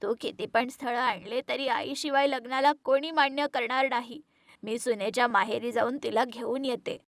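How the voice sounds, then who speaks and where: A woman plays an old village grandmother in an animated moral tale. Her voice sits fairly high and sounds close and dry. She is caring but firm, quick to take relatives to task. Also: dry recording, lightly acted. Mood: earnest. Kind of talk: animated moral story dialogue.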